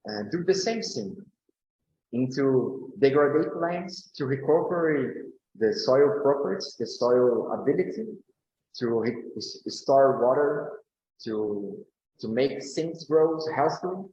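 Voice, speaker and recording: Slow, halting man in a roomy hall. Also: medium pitch, lively intonation, dark tone, a little hiss or hum. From Brazil. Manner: slow and halting, many fillers and restarts. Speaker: agroecology speaker. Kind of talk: panel talk answering questions. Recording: roomy, dull recording.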